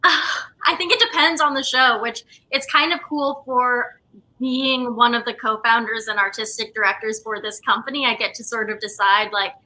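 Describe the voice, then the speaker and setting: A woman in her twenties with a very bright, slightly high voice. She explains things in a loose, informal interview style. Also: steady tone, normal speed, low-quality recording. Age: 20s. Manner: informal, conversational. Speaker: theater company co-founder, interview guest. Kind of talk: casual interview chat.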